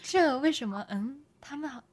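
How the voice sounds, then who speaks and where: A woman's voice: sits high, animated, normal speed, crowd noise. A woman hosting a casual group chat, organising the others and handling the music. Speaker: event host running the background music.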